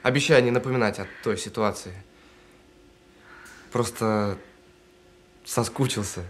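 An actor in an audio drama playing a guilt-ridden bomb technician on the phone, speaking haltingly and earnestly. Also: male voice, fairly low pitch, slight background noise, natural voice. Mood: relieved, weary. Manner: halting, earnest, apologetic, with stammered restarts. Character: guilt-ridden male bomb defuser saying goodbye by phone.

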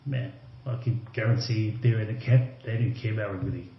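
Lowish voiced guy rambling easy on a podcast, lots of you knows, roomy kinda dull sound. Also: fairly even intonation, a little fast. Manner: loose and conversational, many fillers like "you know" and "like". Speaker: podcast guest, former rugby league player. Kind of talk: casual podcast interview. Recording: roomy, slightly dull remote audio.

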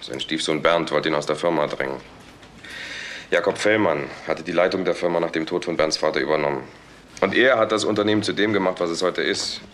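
Matter-of-fact investigator, low pitch, sceptical, radio crime play, noisy background. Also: male voice, a little fast, a bit bright. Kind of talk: German crime radio drama acting.

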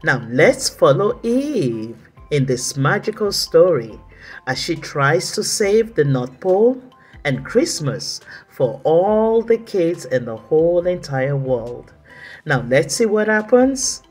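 Very slow, animated woman storyteller. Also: low voice, soft background music. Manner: deliberate, dramatic emphasis, drawn-out words. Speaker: children's storytime reader. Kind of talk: expressive read-aloud narration for children.

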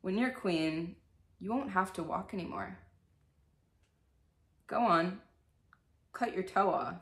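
A woman's voice: lowish voice, takes it slowly. Woman acting a cruel, pushy fairytale mother. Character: cruel, pushy storybook mother urging her daughters. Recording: echoey room.

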